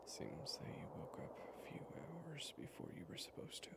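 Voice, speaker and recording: A man playing a worried, exasperated friend in an audio roleplay, speaking haltingly and naturalistically. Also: a little high, wildly swooping pitch, gravelly, whispered, exaggerated voice. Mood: somber, gentle. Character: worried, exasperated male friend of an amnesiac, gently explaining. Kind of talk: audio roleplay acting, one-sided dialogue.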